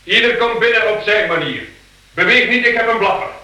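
Brash, sharp young robber. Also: male voice, high-pitched, fairly quick, roomy sound, low-quality recording. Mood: cocky, threatening. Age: young adult. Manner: brash, mocking. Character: cocky, impatient young armed robber on the run. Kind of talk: radio play acting.

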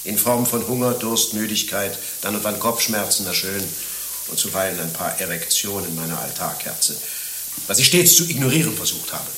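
A man's voice: on the low side, expressive, normal speed, fairly bright tone, echoey room, a little hiss or hum. An actor playing a lovesick, naive middle-aged former monastic in a German radio play, declaiming his confessions with rhapsodic anguish. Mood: anguished longing.

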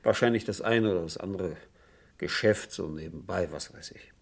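An actor playing an evasive, nervous insurance agent under questioning in a radio crime drama, halting and apologetic in his speech. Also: deep voice, gravelly, slightly rough, heavily acted. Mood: rambling, evasive.

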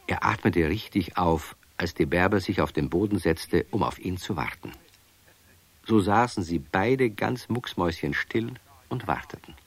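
An actor as the storyteller of a children's radio play, narrating from a script and addressing young listeners. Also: male voice, sits low.

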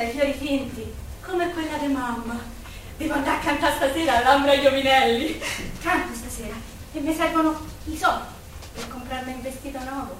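A woman's voice: high-pitched, background noise, close to an everyday voice. A woman acting on stage as a childlike, unhinged young street singer with a Roman accent, theatrical in manner. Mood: excited, pleading. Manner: theatrical, childlike, breaking into song. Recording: distant, echoey stage recording with music.

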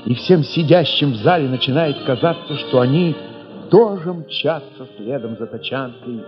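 A man narrating an audio play in the first person, expressive and theatrical, with emphatic pauses. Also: medium pitch. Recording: muffled, long reverb, music underneath.

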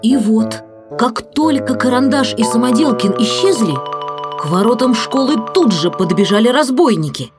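A woman's voice: on the high side, expressive, normal speed, loud music behind the voice. A woman narrating a children's story from a script in an audio play.

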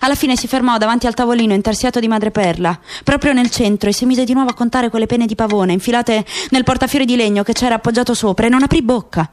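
A woman reads literary narration for a radio play. Her voice is high, and there is faint noise in the recording. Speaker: narrator, actress.

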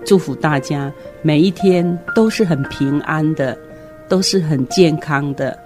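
A woman's voice: low voice, unhurried. An older woman with a Taiwanese Mandarin accent, sharing personal thoughts and good wishes as a guest in an earnest, encouraging way. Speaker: interview guest, retired kindergarten principal and teacher. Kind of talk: personal sharing and well-wishing. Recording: music under the voice. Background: background music.

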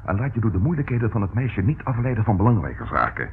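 An actor playing a shrewd, sceptical police detective in a radio drama, with a wry, pointed and deliberate delivery. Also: male voice, on the low side, sing-song. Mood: wry, cautioning. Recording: narrow tinny old radio sound, faint hiss.

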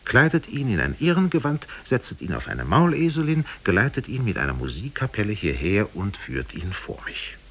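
An imperious storybook king, as a male narrator acts him out, issuing ceremonious commands. The voice is animated and slightly rough, lifted above a deep register. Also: moderate pace, few pauses, full character voice. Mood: commanding. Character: imperious king, quick to anger, easily delighted.

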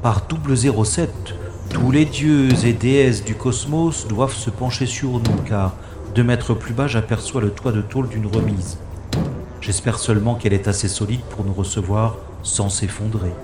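A man's voice: fairly low pitch, normal speed, very noisy, natural voice. Man as wry space secret agent, roomy sound. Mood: tense, wry. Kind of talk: French sci-fi audio drama, first-person narration and dialogue. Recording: roomy sound with music behind.

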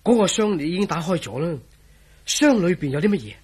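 A man playing a scoffing, jovial friend in a radio drama, teasing with drawn-out exclamations. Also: high-pitched, big pitch swings, a little mellow, slightly rough, full character voice. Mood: wry. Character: scoffing, jovial man, mocking a believer in legends. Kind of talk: Cantonese radio drama acting.

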